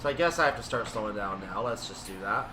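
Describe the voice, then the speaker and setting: A man giving casual commentary on a train simulator, thinking aloud and explaining in an unhurried way. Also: on the low side, lively intonation. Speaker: gaming video creator. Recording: distant mic, clear background noise.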